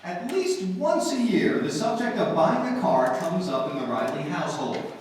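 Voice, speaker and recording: A man's voice: high-pitched, huge pitch swings, moderate pace, a little mellow, soft background music. A man working as announcer and narrator, reading a script in 1940s radio style. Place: live stage show in a hall. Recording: echoey hall, distant, noisy.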